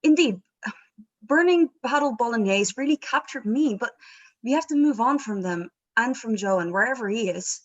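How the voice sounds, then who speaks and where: A woman's voice: a little high, expressive, moderate pace, muffled recording. A woman playing a flustered, apologetic rock band manager in a script read, with a hesitant, wry manner. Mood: placating. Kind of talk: informal script table read.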